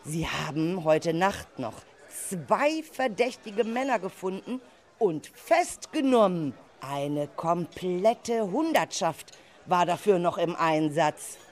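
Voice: medium pitch, animated, slow. Caring, firm chaperone of a children's group, acted. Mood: calm, relieved. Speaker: group leader in a children's audio play. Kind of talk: scripted children's radio play, amateur acting.

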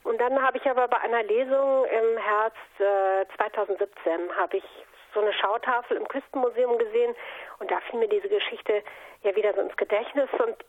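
A woman's voice: fairly high pitch, fairly even intonation, normal speed. A woman from a North Sea island in Germany, an author answering interview questions in a conversational, reflective storytelling way. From North Sea island of Juist, Germany. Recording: narrow phone-like line.

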